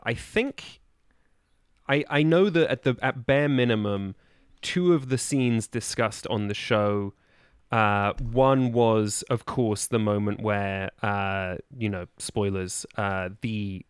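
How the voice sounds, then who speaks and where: A man speaking as a podcast guest in casual conversation, with a halting, discursive delivery. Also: on the low side, smooth, no echo, studio quality. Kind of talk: casual chat.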